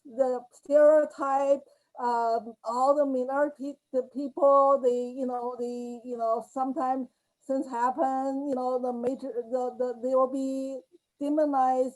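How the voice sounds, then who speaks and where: High-pitched woman panelist, halting, repeats words, on a video call. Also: a little mellow, rough recording. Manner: halting, repeating words while searching for them. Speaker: podcast panelist.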